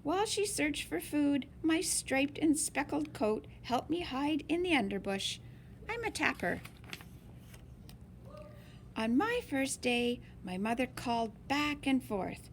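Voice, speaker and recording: Animated woman reading a children's story. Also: sits high, a little hiss or hum. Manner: slow, deliberate, animated phrasing for young listeners. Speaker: storyteller reading a picture book aloud. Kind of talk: expressive children's read-aloud.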